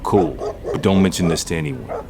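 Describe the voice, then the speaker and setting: An actor in an audio drama playing a shrewd, domineering gang boss with slangy street talk and corporate airs. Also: male voice, low voice, normal speed, background noise, close to an everyday voice. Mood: calculating.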